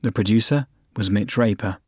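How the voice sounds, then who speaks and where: A man's voice: fairly low pitch, normal speed, darkish tone, slightly rough, no echo, studio quality. A man giving scripted narration as a radio announcer.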